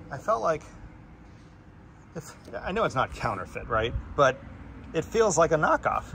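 A man's voice: fairly high pitch, a bit bright, slight background noise. A man giving a casual, plain-spoken product review, pointing out defects while filming with a handheld phone on an outdoor deck. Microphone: phone camera in hand.

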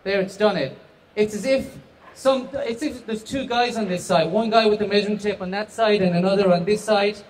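A man's voice: high voice, brisk. A middle-aged man from the west of Ireland with an Irish accent, chatty and self-deprecating, demonstrating a product live on a handheld mic in a large trade show hall. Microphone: handheld microphone.

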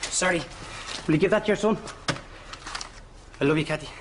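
A man's voice: a little high, normal speed, background noise, close to an everyday voice. An actor playing a cheeky, lecherous, dopey Irish man in a broad sitcom style. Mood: cheeky, flirty. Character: cheeky, lecherous, dopey male family friend. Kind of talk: broad studio sitcom acting.

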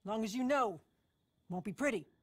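A woman's voice: a little high, expressive, normal speed, no room sound. A woman playing a weathered older American frontier moonshiner in a video game, blunt, dry and defiant. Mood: determined. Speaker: game character actor. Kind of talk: video game cutscene acting.

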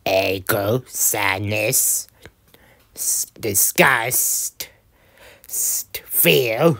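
A woman voicing a villainous male frog for amateur cartoon clips, gloating in a halting, stammering way. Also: a bass voice, expressive, a bit bright, heavily acted. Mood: gloating, menacing. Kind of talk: amateur improvised character voice clips.

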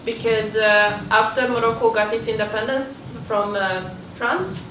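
A young woman speaks earnestly to a seated audience, halting often with fillers. Her voice sits a little high and comes from across a reverberant hall, with background noise audible. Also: fairly even intonation. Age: young adult. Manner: hesitant, earnest, many fillers. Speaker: student presenter. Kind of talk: informal panel talk. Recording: roomy far-off hall recording, noisy.

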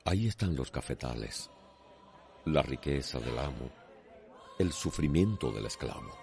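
Very deep-voiced male radio soap narrator. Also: animated, vocal fry, a little mellow, soft background music. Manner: solemn, deliberate. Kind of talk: dramatic, poetic scripted radio soap narration.

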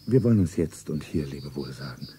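A very deep, dark-voiced man, playing a tender, evasive lover. He speaks placatingly, over some background noise and chirping. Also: animated, a little fast. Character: evasive, gentle male lover saying farewell. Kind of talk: melodramatic radio drama.

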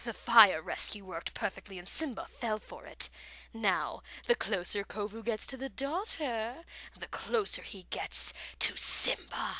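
A woman voicing a scheming, embittered cartoon lioness with a breathy, menacing delivery. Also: mid-range voice, sharp tone, no echo, low-quality recording. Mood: sinister, scheming. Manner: slow, menacing, theatrical.

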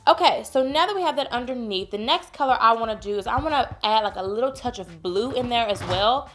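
A woman's voice: a little high, lively intonation, brisk, soft background music. A woman, chatting playfully through a makeup tutorial, sounding a bit congested. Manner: chatty, playful, addresses viewers as y'all. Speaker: beauty vlogger. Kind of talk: casual makeup tutorial chat. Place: home room, indoors.

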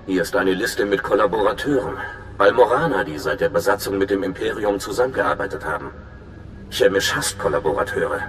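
A man's voice: deep voice, lively intonation, fairly quick, fairly bright tone, roomy sound, a little hiss or hum. An actor playing an earnest, conscientious male undercover agent in a video game, speaking in a confiding way. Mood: worried, urgent. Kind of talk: dramatic video game acting.

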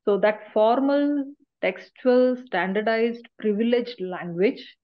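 A woman's voice: on the high side, slow pace, muffled recording. A middle-aged woman giving a university lecture over a video call, explaining points and often checking in with "right?". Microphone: laptop webcam on a video call.

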